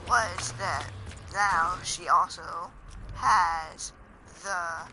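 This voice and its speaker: A young man chatting casually and hesitantly over gameplay, his voice scratchy and strained from yelling. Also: high voice, fairly even intonation, drawn-out words. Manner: hesitant, meandering. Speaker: gaming video host. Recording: loud game music and sound behind. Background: video game music.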